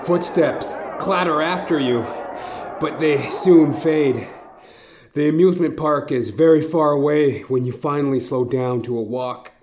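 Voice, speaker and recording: A man narrating a horror story in a suspenseful, theatrical manner, with short deliberate phrases. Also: on the high side, darkish tone. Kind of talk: dramatic second-person horror story narration. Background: music.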